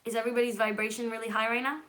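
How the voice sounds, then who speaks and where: A woman's voice: on the high side, little pitch movement, racing, fairly bright tone. A woman from California whose first language is Hebrew, hosting a casual live stream and answering viewer comments in a chatty, reassuring way that often checks in with "okay?". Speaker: livestream host.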